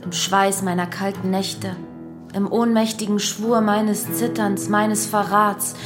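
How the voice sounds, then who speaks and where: A woman's voice: mid-range voice, little pitch movement, slow, close to an everyday voice. An actress playing a haunted woman who recites mournful, poetic lines with restraint in a radio drama. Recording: loud guitar music behind.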